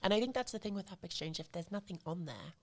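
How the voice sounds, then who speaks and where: A woman's voice: lowish voice, animated, fast talker. A woman from Zimbabwe, in her thirties, chatting casually as a podcast guest, full of fillers like "like" and "you know what I mean". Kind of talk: casual interview chat.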